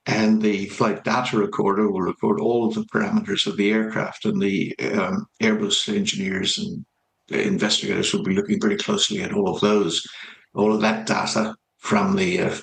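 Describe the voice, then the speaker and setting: A man with a low, gravelly voice explains things as an expert in a news interview. He speaks in a halting, measured manner, and the room echoes around him. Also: little pitch movement, slight background noise. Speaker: aviation expert being interviewed.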